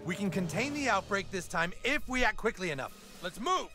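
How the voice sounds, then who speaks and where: An actor playing a brisk, scheming government official in a satirical cartoon video game. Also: male voice, high-pitched, very animated. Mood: urgent, commanding. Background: game music.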